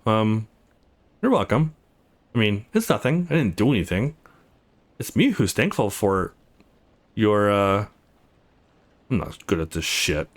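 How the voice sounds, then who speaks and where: A man voicing a tough but secretly soft young woman in a visual novel, blunt, sarcastic and reluctant. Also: fairly low pitch, very animated, a little slow, pauses often, voice pushed down, heavily acted. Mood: flustered.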